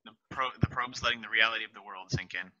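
Young man, stage directions, steady reading, headset, bright. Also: fairly low pitch, fast talker, no echo, highs cut off.

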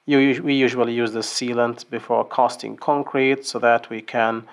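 A man's voice: mid-range voice, little pitch movement, normal speed. A man teaching an engineering lesson over a screen-share drawing, explaining step by step with fillers and restarts. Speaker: engineering instructor. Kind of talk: whiteboard tutorial. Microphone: screen recording voice-over.

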